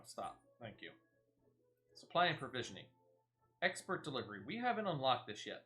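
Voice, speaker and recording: Lively, bright-voiced man commentating gameplay. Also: mid-range voice. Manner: chatty, thinks aloud. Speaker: gaming streamer.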